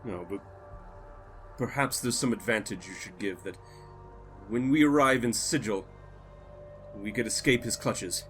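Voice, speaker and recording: A man voicing an earnest, principled wizard in a solemn, halting way, close to his own voice. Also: on the low side, a bit bright, faint music behind. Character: earnest, principled male wizard fleeing an inquisitor.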